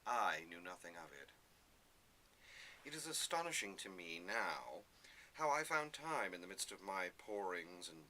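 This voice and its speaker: A man giving an expressive literary reading in the first person, with measured, dramatic phrasing. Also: on the low side, slight background noise, poor audio. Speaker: reader of a classic novel excerpt.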